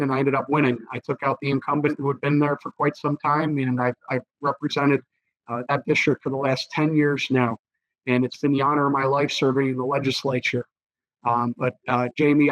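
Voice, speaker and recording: Hoarse thirty-year-old man. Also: mid-range voice, no room sound, lo-fi sound. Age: 30s. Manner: earnest, repeats words with many fillers. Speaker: political candidate. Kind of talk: interview answers.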